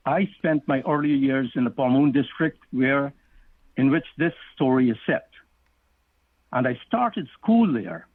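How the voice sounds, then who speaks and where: A middle-aged man from Guyana talks about his book in a measured, storytelling manner. His voice sits at a middling pitch and comes over a thin, tinny phone line. The sound is dry, with no room around it. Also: no room sound. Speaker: author and guest. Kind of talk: interview answers.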